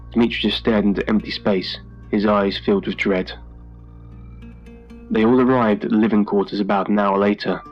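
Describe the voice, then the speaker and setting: Man narrating horror over music, muffled sound. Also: lowish voice. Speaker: story narrator. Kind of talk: scripted horror story narration.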